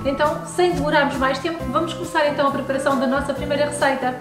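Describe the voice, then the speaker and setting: A woman's voice: sits high, steady tone, very fast, over loud music. A woman in her thirties with a European Portuguese accent, hosting a cooking tutorial and talking directly to her viewers in a friendly, instructive way. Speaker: cooking channel host. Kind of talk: recipe tutorial voice-over. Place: home room with bookshelves.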